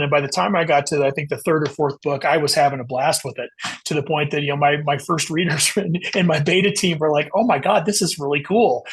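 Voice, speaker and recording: A man's voice: a little high, a bit bright, husky. A man speaking as a guest author in an interview, explaining his writing in earnest, long conversational answers.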